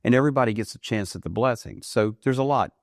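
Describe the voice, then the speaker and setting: A man in his fifties from the United States, answering interview questions earnestly, often rising in pitch for emphasis. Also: fast talker, dry recording, clean studio sound. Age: 50s. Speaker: guest, ministry leader. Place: studio.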